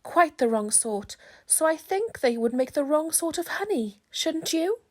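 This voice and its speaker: A woman voicing an earnest, fretful male toy bear from a children's storybook, speaking in a deliberate, solemn and plaintive way. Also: sits high, animated, a little mellow, pitched above the performer's own voice.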